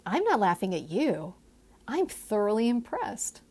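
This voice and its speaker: A woman voicing a confident, teasing gentleman in a historical romance audiobook, with persuasive, formal phrasing. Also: mid-range voice, very animated, unhurried.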